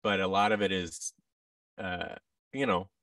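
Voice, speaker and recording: Low-pitched man, slightly distant. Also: fairly even intonation, measured pace, fairly bright tone, dry recording. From United States. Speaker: interview guest, actor and voice-over artist. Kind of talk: casual interview talk. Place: large indoor room with tables, on video call. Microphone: boom-arm microphone, headset.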